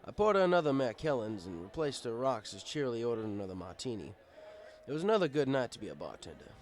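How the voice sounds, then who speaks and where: A man's voice: medium pitch, sing-song, quick pace. A man reading a first-person story aloud in an easygoing, conversational manner, as a bartender telling his tale. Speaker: audiobook reader. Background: music.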